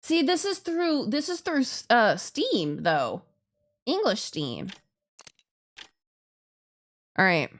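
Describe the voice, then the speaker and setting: A woman streamer chatting casually through a game, expressive and giggly, often swearing and interrupting herself. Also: on the high side, slow pace. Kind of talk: live visual novel playthrough commentary, casual chat.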